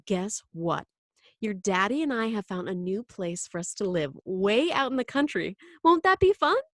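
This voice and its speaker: A woman narrator plays a breezy, determined mother from a storybook. Her voice is lifted and very lively, coaxing and full of exclamation. The recording is dry and close. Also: on the high side, moderate pace, dry recording, pitched above the performer's own voice. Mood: forced cheer. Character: gushing, enthusiastic artist mother, breezy and determined.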